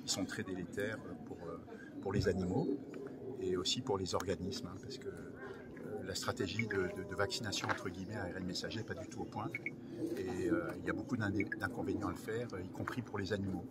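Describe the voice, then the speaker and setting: Over noticeable ambient noise, a male researcher speaks in a fairly low voice. He gives technical answers as an interviewee, halting and repeating phrases as he explains. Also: steady tone. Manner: hesitant, repetitive, technical. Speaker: research director in biology, interview guest. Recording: loud ambient background noise.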